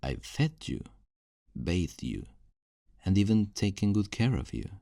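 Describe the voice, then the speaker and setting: A man's voice: sits low, sing-song, measured pace, creaky, mellow, no room sound, clean studio sound, heavily acted. A man playing a possessive, self-righteous kidnapper in an ASMR roleplay, speaking in a condescending, coaxing, menacingly tender way. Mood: condescending tenderness. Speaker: audio roleplay performer.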